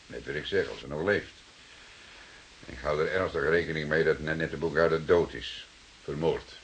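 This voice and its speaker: An actor playing a calm, stubborn, dryly ironic police detective in a radio drama, speaking in a measured way. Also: male voice, very deep voice, gravelly, a little hiss or hum. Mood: dry, grave. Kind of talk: Dutch radio drama acting.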